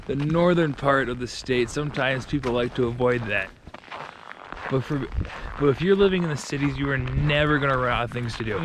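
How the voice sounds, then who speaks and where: A young man with a loud voice talks casually as he walks his dog outside, wandering off and restarting his sentences. His pitch sits in an ordinary middle range. Outdoor noise and passing cars are clearly heard behind him. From Minnesota. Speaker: real estate agent. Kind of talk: walking vlog talking to camera.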